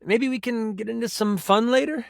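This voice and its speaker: A man's voice: very high-pitched, normal speed, creaky, dry recording, clean studio sound. An actor voicing a personified gaming die as a nerdy, earnest, awkward man who stammers and gets theatrical, in video game style. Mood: flirty, cheerful. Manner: hesitant, stammering, effusive, theatrical gamer talk.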